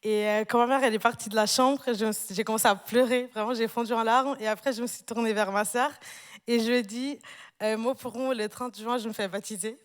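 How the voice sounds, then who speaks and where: A woman in her twenties from Portugal giving a personal church testimony on stage, hesitant, with many pauses and fillers. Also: on the high side, fairly even intonation, long reverb tail. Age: 20s. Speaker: newly baptized church member. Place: large church hall.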